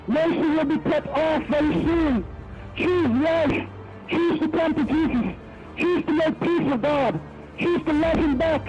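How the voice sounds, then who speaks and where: A man preaching on an open city street corner, his voice pitched very high. He hammers the same urgent calls again and again. Loud music washes over the phone recording. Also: rough recording. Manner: urgent, repetitive exhortation.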